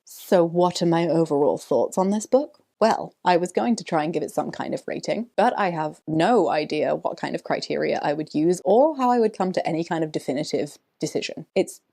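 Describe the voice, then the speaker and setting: A woman hosting a vlog, giving scripted commentary and reading aloud from a book in a measured, articulate way. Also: deep voice, lively intonation, gravelly, warm tone. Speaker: vlog host.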